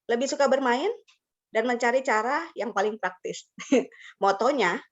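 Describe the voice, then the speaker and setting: A woman's voice: a little high, normal speed. A woman presenting an online teacher-training webinar, chatty, slipping in English words and laughing often. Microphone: video call. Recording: slightly dull lo-fi call audio.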